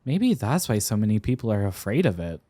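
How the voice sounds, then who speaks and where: A young man playing an affectionate, teasing sweetheart smitten with an old friend, speaking tenderly and haltingly in an intimate roleplay ASMR style. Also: lowish voice, big pitch swings, mellow. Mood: wistful. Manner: tender, playful, halting with pauses and repeats.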